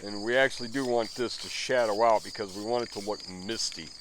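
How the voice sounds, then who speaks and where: A man's voice: lowish voice, a little fast, noisy background. A man in his fifties giving a rambling, self-deprecating running commentary as he spray paints. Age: 50s. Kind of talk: running commentary while working.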